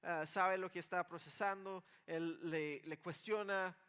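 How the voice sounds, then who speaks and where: A male preacher with a notably high voice explains scripture in everyday words. He swings from quiet reflection to insistent emphasis, often repeating a word as he restarts a phrase. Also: fairly even intonation, unhurried, smooth. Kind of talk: expository sermon, explaining scripture in plain conversational words.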